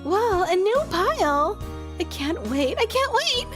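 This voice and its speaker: Sweet, excitable anime schoolgirl, voiced by a woman, very high and swooping, gushing and pleading. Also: unhurried, over music, full character voice. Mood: excited. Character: sweet, excitable, cutesy anime teenage girl. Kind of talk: visual novel character read-aloud.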